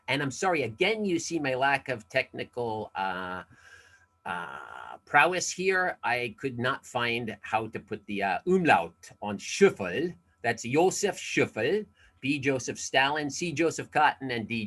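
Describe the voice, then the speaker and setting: A man's voice: fairly low pitch, pushed and bright. An older man running a casual quiz on a video call, chatty and self-deprecating, with many asides and fillers. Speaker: alumni event quiz presenter. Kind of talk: casual online presentation.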